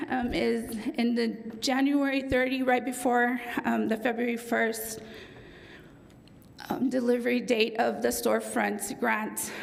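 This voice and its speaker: A woman with a rough, gravelly voice walks a city council through a police outreach update. She fills her pauses with ums and corrects herself as she goes, her voice pitched a little high. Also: poor audio. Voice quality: raspy. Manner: frequent ums, self-correcting. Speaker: police department presenter. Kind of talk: formal presentation to city council. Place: council chamber.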